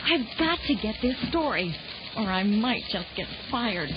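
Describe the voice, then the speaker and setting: An actress playing a frustrated, determined reporter in a children's audio drama, exasperated and emphatic. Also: female voice, fairly high pitch, sing-song, unhurried, music in the background. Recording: dull lo-fi audio.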